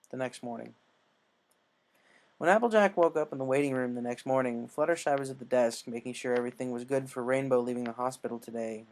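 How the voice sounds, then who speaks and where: A male reader narrates a written story. His voice sits at an average pitch, and he delivers the scripted prose as a storyteller. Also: darkish tone, low-quality recording. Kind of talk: scripted narration of fan fiction.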